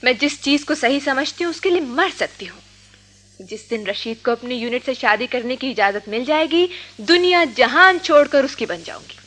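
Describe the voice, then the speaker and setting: A woman acting in a radio drama as a bold, teasing young college girl, brisk and self-assured. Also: high voice, expressive, a bit bright. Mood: defiant.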